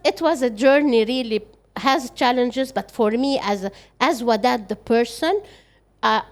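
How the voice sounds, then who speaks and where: An older woman with an Arabic accent, a native Arabic speaker, talking as an interview guest in an earnest way, often stopping and restarting her sentences. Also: fairly high pitch. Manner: earnest, halting with frequent restarts. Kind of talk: reflective interview conversation.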